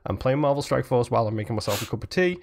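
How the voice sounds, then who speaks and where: A man with a medium-pitched voice speaks as a podcast co-host. His delivery is informal and conversational, like relaxed gaming talk among regulars of a show. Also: racing, vocal fry. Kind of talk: casual gaming podcast chat.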